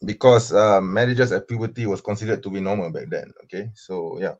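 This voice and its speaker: A man's voice: low-pitched, a little fast, darkish tone, muffled recording. A man from Malaysia debating online, answering questions insistently with many fillers and restarts.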